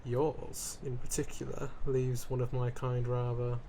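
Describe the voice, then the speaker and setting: A man acts out a centuries-old vampire lord in an audio roleplay, speaking straight to the listener. He is haughty, theatrical and wry, fussy and quick to get indignant or flustered. His pitch is average for a man, and clear ambient noise runs behind the voice. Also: completely flat delivery, dark tone, natural voice. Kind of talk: scripted ASMR roleplay spoken to the listener.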